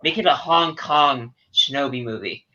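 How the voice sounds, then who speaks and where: A man's voice: medium pitch, normal speed, bright, forceful tone, dull audio. A man co-hosting a casual podcast, joking and repeating his own bits. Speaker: podcast co-host. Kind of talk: casual group chat.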